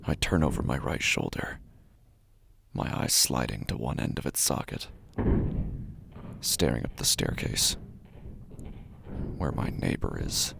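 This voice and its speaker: A man acting a deep, gravelly, obsessive horror narrator. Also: very animated, a little slow, long pauses, a bit bright, a little hiss or hum, heavily acted. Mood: tense, brooding. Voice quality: low, gravelly, often breathy, dropping to whispers. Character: anxious, obsessive male apartment dweller fixated on a door. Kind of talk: dramatic first-person horror audio drama monologue.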